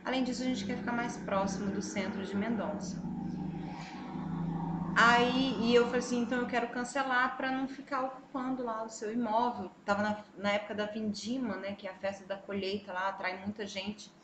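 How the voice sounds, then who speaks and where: A woman from Brazil with a Brazilian Portuguese accent, a travel vlogger telling her story in a casual, animated way and talking straight to her viewers. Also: mid-range voice, normal speed, background noise. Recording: echoey room, faint ambience.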